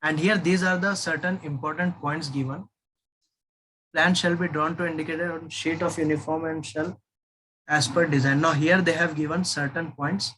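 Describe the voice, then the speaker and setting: An Indian-accented man with a slightly high voice works through a technical document like an instructor. His delivery is informal and halting, circling back over points as he explains. There is some faint noise in the recording. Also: steady tone, a bit bright, rough recording. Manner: halting, repetitive, explanatory, with checking tags.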